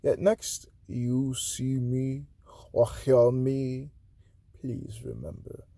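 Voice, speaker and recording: A man from Haiti reading his own spoken-word poems aloud, swinging between loud declarations and hushed, breathy asides. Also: mid-range voice, little pitch movement, drawn-out words, dry recording, clean studio sound.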